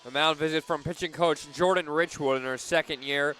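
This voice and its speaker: A man doing live play-by-play for a softball radio broadcast outdoors, punchy on big plays and conversational between them. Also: fairly high pitch, fairly even intonation, a little fast, slight background noise. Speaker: play-by-play sports announcer.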